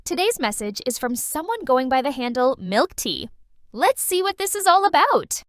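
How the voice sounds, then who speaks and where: A woman's voice: high-pitched, very animated, moderate pace, dry recording, studio quality. A woman playing a cheerful late-night radio DJ who reads listeners' letters expressively in a manga dub. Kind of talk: radio presenting, anime-style dub.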